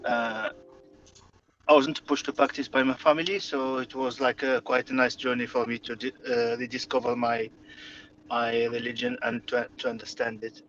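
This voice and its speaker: A man's voice: medium pitch, little pitch movement, moderate pace, fairly bright tone, muffled recording, slight background noise. A man from Morocco whose first language is Arabic, speaking hesitantly with many fillers and restarts as an interview guest. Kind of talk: conversational interview.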